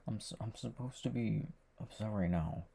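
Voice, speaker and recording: Casting: male performer as needy young boyfriend, soft and halting, very deep crackly voice, intimate ASMR audio drama. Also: creaky. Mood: sheepish, amused. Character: tender, needy, giggly young boyfriend seeking comfort.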